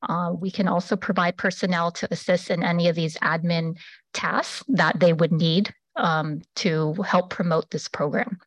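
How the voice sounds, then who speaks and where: Halting woman presenting a program. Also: fairly low pitch, steady tone, no room sound, poor audio. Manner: halting, many fillers and restarts. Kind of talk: public meeting presentation.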